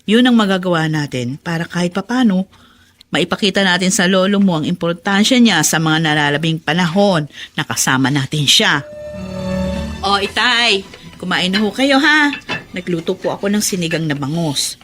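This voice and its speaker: A woman's voice: fairly low pitch, expressive, normal speed, a bit bright, soft background music. A woman with a Filipino accent playing a caring, middle-aged, worn-out daughter in a radio drama, earnest and coaxing. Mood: gentle concern.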